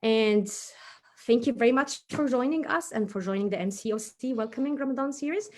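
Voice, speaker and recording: A woman's voice: on the high side, brisk, a little mellow. A woman, perhaps in her thirties, hosts a casual craft session for children in a chatty, encouraging, self-deprecating way.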